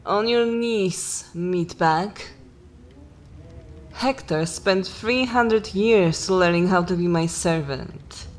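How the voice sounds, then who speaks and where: A woman voicing a haughty, cruel, centuries-old vampire mistress in a dark fantasy ASMR roleplay, speaking in a formal, commanding and disdainful manner. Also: mid-range voice, lightly acted. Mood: contemptuous, commanding. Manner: imperious, slow, disdainful, formal wording.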